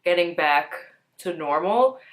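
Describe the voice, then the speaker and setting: A woman's voice: fairly low pitch, expressive, measured pace, rough recording. A young woman vlogging about beauty products, talking casually to camera and sounding nasal from a cold, with lots of "like" and "um". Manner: chatty, peppered with "like" and "um".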